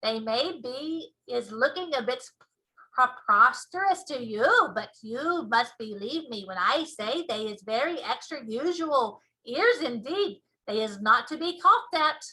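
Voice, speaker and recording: A woman's voice: on the high side, lively intonation, a little slow, pushed and bright, low-quality recording. A woman voicing a big, proud, earnest male giant from a storybook, speaking emphatically in folksy muddled grammar with whimsical invented words.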